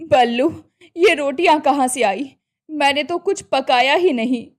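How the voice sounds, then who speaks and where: A woman's voice: high-pitched, measured pace. A woman voicing an older village mother in a cartoon story, who scolds her son in a down-to-earth, caring way. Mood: fussing.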